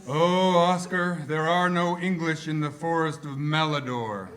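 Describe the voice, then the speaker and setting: A man's voice: a little high, steady tone, unhurried, a bit bright, echoey room. A man reading an earnest, geeky cosplay fan at a live table read. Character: nerdy eager cosplay-loving man.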